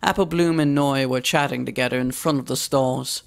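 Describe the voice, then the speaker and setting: A woman's voice: a bass voice, fairly even intonation, normal speed, vocal fry. A woman reading fiction aloud as a scripted story narration. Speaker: story reader.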